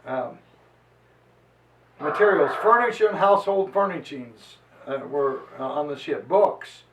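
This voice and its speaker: A man giving a dramatic historical reading and lecture with theatrical, deliberate emphasis. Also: a little high, lively intonation, background noise. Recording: echoey room, distant microphone.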